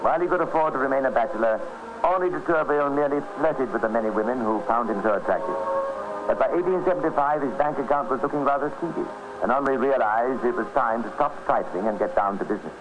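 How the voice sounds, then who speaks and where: An actor narrating a vintage radio crime drama as a wry, urbane storyteller with dry irony. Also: male voice, mid-range voice, lively intonation, fast, muffled recording, music in the background, lightly acted. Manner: dry, ironic. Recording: noisy old radio recording.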